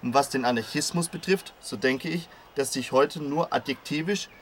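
A man playing an earnest, intellectual anarchist father who argues in measured, formal phrases in a scripted radio play. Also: medium pitch, close to an everyday voice. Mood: reflective, measured. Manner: measured, argumentative, formal wording. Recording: clear background noise and ambience.

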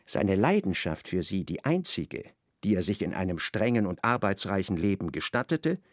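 A man narrating a literary radio drama in an expressive, storytelling manner. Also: low voice, normal speed, no echo, clean studio sound.

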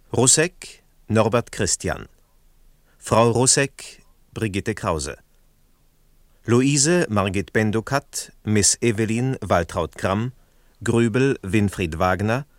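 A man reading a formal, scripted announcement as a radio announcer, measured and precise. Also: fairly low pitch, no echo, studio quality.

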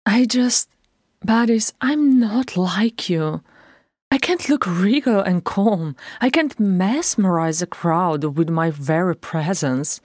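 A woman's voice: slow pace, a little mellow, dry recording, clean studio sound. A woman voicing a blunt, impetuous dwarf woman who grumbles and complains. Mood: grumbling. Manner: higher, more emphatic voice than her narration.

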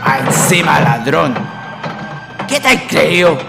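A man's voice: fairly high pitch, very animated, takes it slowly, gravelly, loud music behind the voice, heavily acted. A man playing a furious, jealous husband with a Chilean accent in a radio drama, threatening and spoiling for a fight.

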